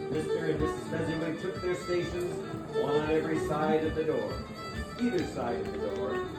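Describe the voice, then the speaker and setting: A man narrating a scripted dramatic reading of a classic story as the narrator. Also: a little high. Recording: echoey room, distant mic.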